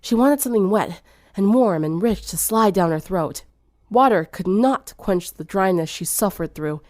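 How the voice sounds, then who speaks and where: Female story narrator, animated delivery, medium pitch, dry studio-like sound. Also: moderate pace, no room sound. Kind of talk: dramatic fan fiction reading.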